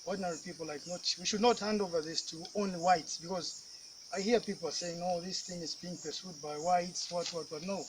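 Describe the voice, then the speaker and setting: A man's voice: high-pitched, steady tone, brisk. A man with a Zambian accent in a casual interview, hesitant and conversational, repeating himself. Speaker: concerned citizen interviewee. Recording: noisy outdoor ambience with insects.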